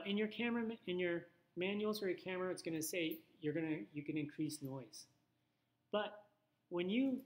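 A man giving an informal instructional photography talk, conversational and thinking aloud with little asides. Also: a little high.

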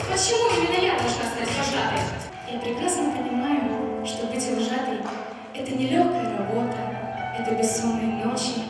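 A young woman making a rehearsed self-introduction as a contestant on a theatre stage. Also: sits high. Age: teens to young adult. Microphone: handheld microphone through hall speakers. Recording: very echoey distant hall, loud music.

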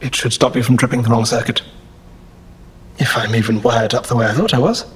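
A husky, deadpan android. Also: male voice, medium pitch, brisk. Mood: resigned. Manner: deadpan, precise. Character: male android, dry, wary, sardonic. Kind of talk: audio drama acting.

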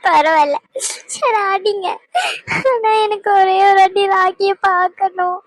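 Voiced by a woman, a heartbroken young woman pleads and refuses through tears in a radio-play scene. Her voice sits very high and sounds thin and tearful. The recording is dry, close and has no room echo. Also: unhurried. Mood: stubborn. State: crying. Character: distraught young woman begging her uncle.

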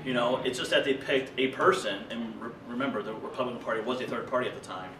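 A man in his thirties from rural Illinois, speaking in an animated, loose conversational way at a workshop table in a meeting room. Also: mid-range voice, fast talker. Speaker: invited speaker. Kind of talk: conference workshop talk. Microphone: far camera. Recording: echoey room from far off, noisy.

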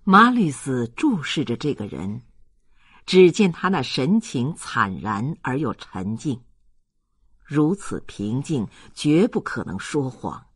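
A man's voice: medium pitch, sing-song, slow, fairly bright tone, slightly rough, no echo, studio quality. A man narrating a literary audiobook in a dramatic storytelling style.

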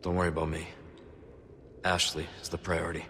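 An actor playing a stoic young agent in a video game, terse and deadpan. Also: male voice, brisk. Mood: dry, terse. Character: stoic, dry young male government agent. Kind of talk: video game cutscene acting. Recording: loud ambient rumble behind. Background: loud ambient noise.

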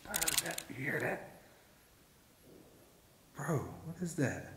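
A man's voice: a little high, lively intonation, takes it slowly, heavy background noise. Man calling out in an echoey hall. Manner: hushed, calls out loudly to spirits. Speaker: ghost hunter. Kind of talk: casual ghost hunting vlog. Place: large abandoned prison, indoors.

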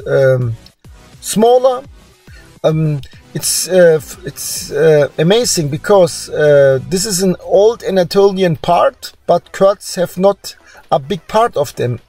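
A man who is not a native English speaker, with a non-native accent, gives informal screen-share commentary as host, haltingly searching for words. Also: on the high side, a little mellow. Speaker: host presenting DNA results.

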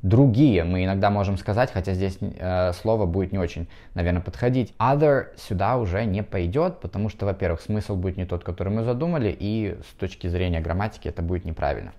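A man in his thirties with a Russian accent, teaching English pronunciation to camera on a lapel mic in a bright room. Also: deep voice. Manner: explains slowly, switches between languages, models pronunciation. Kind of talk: video lesson to camera.

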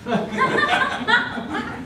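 Older female poet, playful slow delivery, animated pitch, distant roomy recording. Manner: lively, playful storytelling lilt. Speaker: poet at open mic. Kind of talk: humorous poetry reading. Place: library meeting room, at a podium. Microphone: stand mic, far camera. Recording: echoey room, distant mic, faint rumble.

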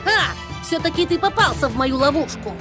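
A woman's voice: high-pitched, animated, a little fast, music in the background. A woman voicing a geeky little boy creature in a children's cartoon, boastfully and theatrically playing a supervillain. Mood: boastful villainy.

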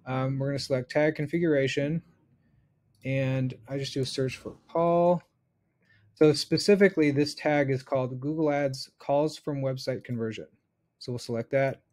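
A man's voice: medium pitch, steady tone, normal speed, a little mellow. Man giving a casual step-by-step tutorial. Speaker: instructor. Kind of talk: casual screen-share software tutorial.

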